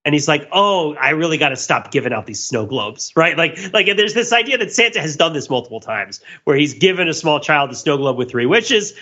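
Male podcast host, wordy explainer in casual discussion, bright forceful tone, slightly dull lo-fi audio. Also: a little high, lively intonation, a little fast, hardly any pauses. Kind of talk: animated casual pop-culture discussion.

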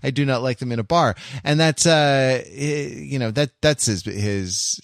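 A man in a casual analytical podcast discussion, talking in a halting, rambling way as he thinks aloud. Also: mid-range voice, gravelly, dry recording, studio quality. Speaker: podcast panelist.

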